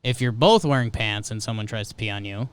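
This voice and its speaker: A man's voice: fairly low pitch, fairly quick, clean tone. A man co-hosting a podcast, chatting casually about sports and whatever comes up. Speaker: podcast co-host.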